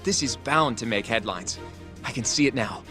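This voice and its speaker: A man's voice: mid-range voice, expressive, moderate pace, music in the background, close to an everyday voice. A man voicing an eager, earnest, easily flustered young junior lawyer in an English game dub. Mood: excited. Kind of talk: dubbed video game cutscene acting.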